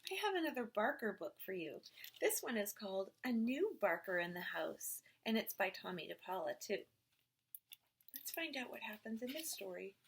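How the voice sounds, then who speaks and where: Animated woman reading to young children. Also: medium pitch, gravelly, husky. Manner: slow, expressive, addressing children directly. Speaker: teacher reading a picture book to young pupils. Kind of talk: animated read-aloud storytelling for children.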